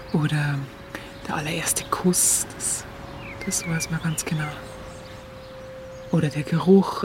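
An actress playing a reflective young woman, recalling the war in a halting, intimate radio monologue. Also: low voice. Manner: halting, musing, colloquial.

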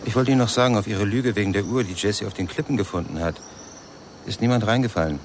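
An actor playing a cynical yet gentle man with a gravelly voice and a blunt, probing manner in a German radio crime drama. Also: lowish voice, quick pace, a little hiss or hum, natural voice.

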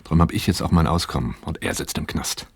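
A man's voice: rumbling low, fast, slightly rough, exaggerated voice. An actor playing a troubled, dutiful working man in a radio play, recounting his life to his therapist in a matter-of-fact, reflective way. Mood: resigned bitterness. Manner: matter-of-fact, reflective, acting out remembered dialogue. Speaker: protagonist and first-person narrator of a radio play. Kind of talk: 1980s German radio play acting, confessional monologue.

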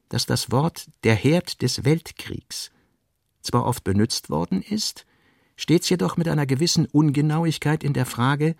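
A man's voice: mid-range voice, gravelly, dry recording. Man reading literary prose in a dry studio. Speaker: narrator.

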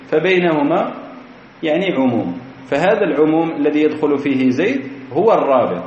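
A man's voice: a little high, darkish tone. Male classroom teacher, patient and methodical, recorded in a roomy hall with faint hiss. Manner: methodical, repeats key phrases, quizzes students. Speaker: grammar teacher. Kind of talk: classroom grammar lecture. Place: lecture hall. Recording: echoey hall, dull lo-fi recording.

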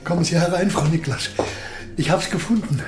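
A man acts out an elderly genius physicist for a radio play, his voice on the high side. He is courteous, absent-minded and a little self-satisfied, phrasing everything formally in a roomy space. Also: fairly quick, faint music behind, close to an everyday voice. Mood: pleased, modest. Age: older. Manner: polite, pedantic, formal phrasing. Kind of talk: German radio play acting.

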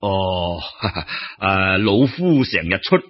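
男講古佬扮白頭老伯，聲沙、客氣. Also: male voice, deep voice, big pitch swings, pitched below the performer's own voice, exaggerated voice. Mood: courteous, genial. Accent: Cantonese. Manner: halting, chuckling, polite old-fashioned speech. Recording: dull lo-fi radio-style recording.

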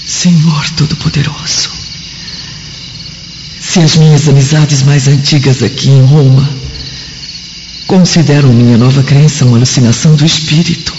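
A woman's voice: very deep voice, steady tone, moderate pace, long pauses, darkish tone. An actress playing a devout, sorrowful Roman noblewoman and mother, praying solemnly in a radio drama. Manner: solemn, prayerful delivery. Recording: dull lo-fi radio drama, background noise.

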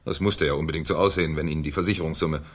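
An actor playing a shrewd, ironic French police commissioner in a 1970s German radio crime drama, with a theatrical, needling manner. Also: male voice, a bass voice, fairly even intonation, rapid-fire, breathy edge. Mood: sardonic, needling.

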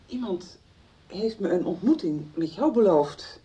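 A careful, hesitant young woman in a radio play. She speaks haltingly, often repeating words, with a husky, mellow, dark voice. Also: mid-range voice, animated, poor audio. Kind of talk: 1990s radio drama acting.

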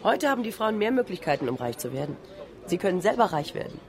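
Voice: medium pitch, big pitch swings, fast talker. A translator's voice reading an interviewee's words for a radio documentary. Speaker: voice-over translator. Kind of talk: documentary voice-over.

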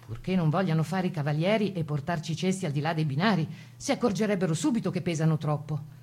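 A woman's voice: low voice, fast, darkish tone, husky. A woman playing a plucky Italian Resistance partisan, telling her wartime memories in the first person as a dramatized radio testimony. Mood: wry, composed. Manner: composed first-person storytelling.

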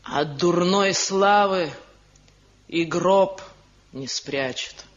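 A woman's voice: on the low side, extremely slow. A woman playing a bitter, reproachful older village mother-in-law in a 1970 radio drama, on a muffled old recording. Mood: accusing, aggrieved. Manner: reproachful, nagging.

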